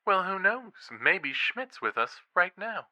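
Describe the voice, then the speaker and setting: An actor playing an eager young college true-crime podcaster in a horror audio drama, a teasing, suspenseful storyteller. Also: male voice, high-pitched, sing-song, a little slow, bright, forceful tone, heavily acted. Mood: playful, spooked. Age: young adult.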